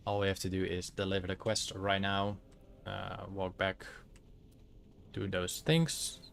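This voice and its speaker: Low-voiced Dutch man narrating gameplay. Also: creaky, a bit bright, a little hiss or hum. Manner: halting, many fillers, searches for words. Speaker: streamer. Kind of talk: casual gameplay commentary.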